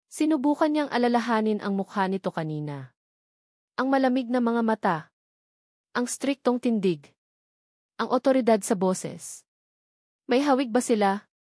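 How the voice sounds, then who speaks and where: A woman's voice: fairly high pitch, lively intonation, normal speed, long pauses, a little mellow, no room sound, studio quality. A woman reading a dramatic scripted story as an audiobook narrator.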